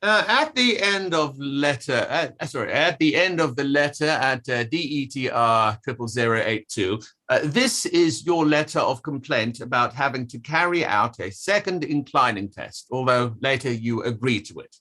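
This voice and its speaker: A man's voice: medium pitch, animated, normal speed, hardly any pauses, bright, forceful tone. A man playing a precise, pressing inquiry barrister, cross-examining formally in a play table read. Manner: probing, formal, hesitant over document references.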